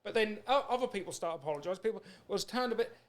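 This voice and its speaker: A man's voice: high-pitched, expressive, rapid-fire. A British man hosting a podcast in a casual chat, talking in an informal, rambling, matey way. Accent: British English. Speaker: podcast host.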